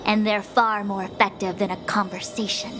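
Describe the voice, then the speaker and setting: A woman's voice: medium pitch, little pitch movement, normal speed, music in the background, lightly acted, poor audio. An actress voicing a stern, proud young female master diviner in a video game, imperious and formal. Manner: imperious, formal, crisp wording.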